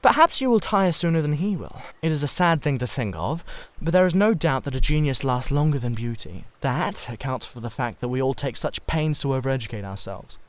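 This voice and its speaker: A man's voice: mid-range voice, big pitch swings, brisk, natural voice. Guy doing a witty victorian lord in a storybook reading, smug and languid, theatrical, talks in epigrams. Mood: languid, pontificating. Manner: theatrical, epigrammatic, self-satisfied. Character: witty, languid, cynical Victorian gentleman aristocrat. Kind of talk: dramatised classic novel reading.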